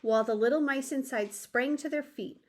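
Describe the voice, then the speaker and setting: A woman reading a children's story aloud in an expressive storytelling manner. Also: high voice, normal speed. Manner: expressive, addresses young listeners.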